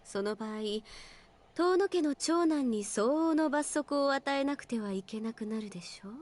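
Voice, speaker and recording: A woman's voice: high voice, animated, fast, darkish tone. An actress voicing a polite, well-bred young woman in a Japanese visual novel, speaking very formally. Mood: cold reproach.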